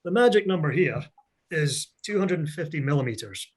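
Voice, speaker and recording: A middle-aged man from Scotland with a mixed Scottish and American accent, presenting a virtual technical seminar over a video call in a chatty, joking, energetic way. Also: on the high side, fairly quick, breathy edge.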